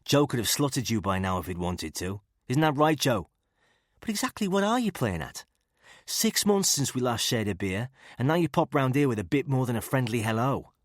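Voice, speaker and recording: A man voicing a smooth-talking, chummy ex-paratrooper with a Scouse accent, pitched well above his own husky, low narrating voice. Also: sing-song, normal speed, no echo, studio quality, natural voice. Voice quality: oozy, chummy. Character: affable scouse ex-para male, smooth-talking.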